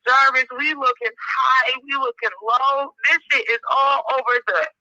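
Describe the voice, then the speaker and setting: A woman's voice: high-pitched, steady tone, measured pace, no echo. A woman talking informally and insistently on a recorded telephone call, repeating her phrases as she pleads. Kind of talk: informal phone conversation. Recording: telephone call, dry and sharp.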